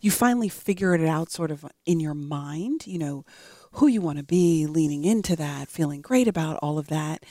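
Lively, expressive woman in her forties. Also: deep voice, normal speed, no echo, studio quality. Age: 40s. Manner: candid, expressive, laughs often. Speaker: podcast co-host, physician. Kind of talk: casual conversation.

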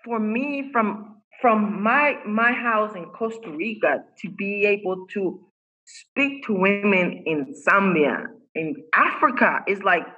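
Earnest woman, Spanish first language, husky bright voice. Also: medium pitch, slow pace, highs cut off. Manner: earnest, emphatic, many fillers and restarts. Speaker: guest, faith-based women's coach. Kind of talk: podcast interview. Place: home in Costa Rica.

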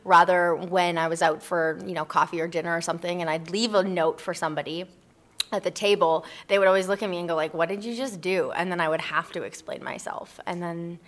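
A woman's voice: lowish voice, steady tone, fairly quick, fairly bright tone. A young woman interview guest telling personal stories casually, in an informal, rambling way with lots of "like". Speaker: interview guest, founder of a volunteer project.

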